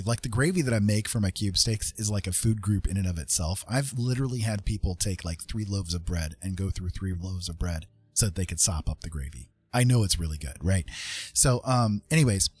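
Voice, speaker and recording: A man's voice: sits low, fairly quick, slightly rough. A man hosting a livestream, telling a personal story in a casual, rambling and informal way. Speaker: livestream host.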